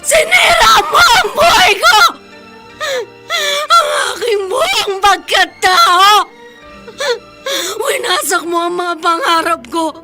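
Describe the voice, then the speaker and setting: A woman's voice: extremely high pitch, lively intonation, takes it slowly, bright, forceful tone, shouting, faint music behind, full character voice. A woman acting an anguished, vengeful young daughter, wailing melodramatically in a Philippine radio drama. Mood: anguished accusing.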